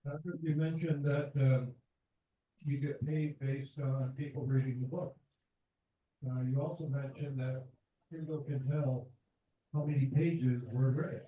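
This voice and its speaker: A man's voice: medium pitch, fairly even intonation, measured pace, phone-quality sound. A man in the audience at a club meeting, asking joking, teasing questions. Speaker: audience member. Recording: very echoey room, far from mic.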